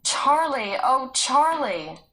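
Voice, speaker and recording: Storybook girl, played by adult woman, distressed, high raised voice, long drawn-out calls into the distance. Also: sing-song, fairly bright tone, exaggerated voice. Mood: anxious calling. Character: distressed girl searching and calling for a lost boy.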